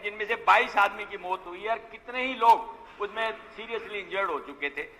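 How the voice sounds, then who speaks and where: High-pitched man speaking in a reverberant hall. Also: pushed and bright. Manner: formal, insistent, complaining. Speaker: opposition legislator questioning a minister. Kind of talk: formal speech in a legislative assembly. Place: large legislative assembly hall. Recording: long hall reverb of assembly chamber.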